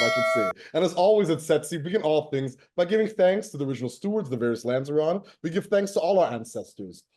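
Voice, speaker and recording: A male host opening and running an interview in a warm, ceremonious way, full of praise and polite "sir"s. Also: on the high side. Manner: effusive, ceremonious, says sir often. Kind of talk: formal interview hosting.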